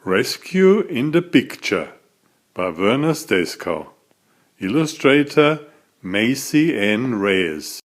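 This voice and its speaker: A man reading a children's story aloud as a narrator, in a deliberate, dramatic storytelling manner. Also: mid-range voice, big pitch swings. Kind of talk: expressive scripted storybook narration.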